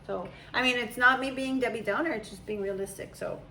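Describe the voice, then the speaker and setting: Chatty young mom, slight background hiss. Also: female voice, fairly high pitch, brisk, pushed and bright. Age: young adult. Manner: chatty, rambling, laughs often. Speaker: mother. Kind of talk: casual chat.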